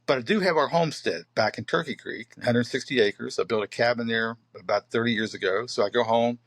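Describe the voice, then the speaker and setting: A man in his sixties from southern West Virginia, a poet being interviewed, telling stories in an unhurried, reflective way with many pauses and fillers. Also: mid-range voice, a bit bright, no room sound. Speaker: poet and photographer guest. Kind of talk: relaxed interview talk.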